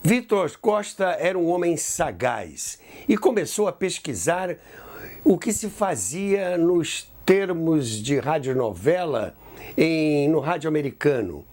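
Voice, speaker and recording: A man's voice: fairly high pitch, big pitch swings, slow, darkish tone. A Brazilian man hosting a history podcast, telling a scripted story in a theatrical way with conversational asides. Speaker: host and narrator of a radio history podcast.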